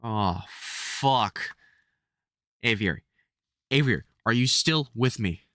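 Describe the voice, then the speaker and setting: An actor playing a gruff, protective vampire hunter who swears and stammers under worry in an audio roleplay. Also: medium pitch, lively intonation, takes it slowly, sharp tone, dry recording, studio quality. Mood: anxious, pleading. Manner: sweary, urgent, stammering when worried. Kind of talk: audio roleplay drama spoken to the listener.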